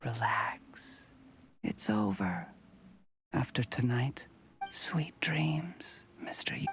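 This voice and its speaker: A man voicing a cold, calculating schemer in a video game, measured, polite and condescending. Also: fairly high pitch, expressive, vocal fry, a bit bright, in a whisper, heavily acted. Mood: menacing, soothing. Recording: faint noise and game ambience, livestream.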